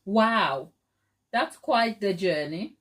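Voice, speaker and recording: A woman's voice: medium pitch, expressive, very slow, a bit bright. A middle-aged woman from Ghana hosting a live stream, chatting casually with viewers, laughing often and using plenty of fillers. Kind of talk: casual chat reading viewer comments.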